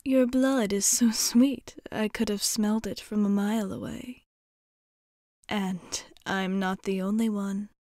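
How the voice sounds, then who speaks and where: Woman acting as a female vampire bartender, unhurried, close dry sound, formal, teasing, whispery at times, ASMR roleplay. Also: medium pitch, warm tone, no echo. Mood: knowing, amused. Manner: measured, formal, teasing, breaking into whispery breathiness. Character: poised, coaxing female vampire bartender, amused and worldly.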